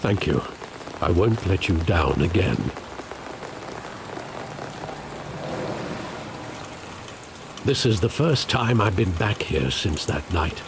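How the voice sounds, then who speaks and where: An actor playing a grave, devoted elderly butler in a video game, speaking in a measured, earnest, confessional manner, weary and remorseful. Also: male voice, low-pitched, lively intonation, heavily acted. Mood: confiding. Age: older. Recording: clear rain noise behind. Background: rain.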